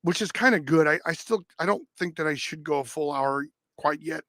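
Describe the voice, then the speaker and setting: Casting: male livestream host, high-pitched and raspy, recorded dry, casual and rambling delivery. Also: fairly quick, a bit bright, dry recording. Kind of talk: casual livestream chat and clip commentary.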